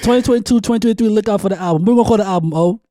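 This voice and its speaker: A man co-hosting a casual sports podcast, hyped and loud, whooping and laughing often. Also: sits high, racing, dry recording, studio quality. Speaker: podcast co-host. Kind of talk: casual podcast banter with a read sponsor spot.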